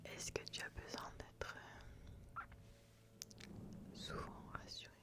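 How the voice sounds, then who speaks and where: A woman speaking in a breathy, unvoiced whisper close to the microphone, gently asking questions in a soothing, repetitive ASMR style. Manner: slow, soothing, repeats words and phrases. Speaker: ASMR host. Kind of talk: ASMR personality-test roleplay, intimate questioning.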